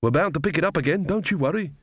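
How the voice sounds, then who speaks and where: A man's voice: fairly high pitch, rapid-fire, slightly rough, no echo, pitched above the performer's own voice. A man voicing a practical male dwarf miner in a fantasy audiobook, matter-of-fact about his work. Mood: consoling. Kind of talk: audiobook character voice.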